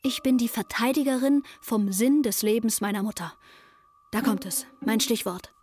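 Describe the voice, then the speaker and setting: A woman's voice: fairly high pitch, normal speed, a little mellow, close to an everyday voice. An actress playing an intense, troubled young woman who narrates her breakdown in a wry, matter-of-fact way in a radio play.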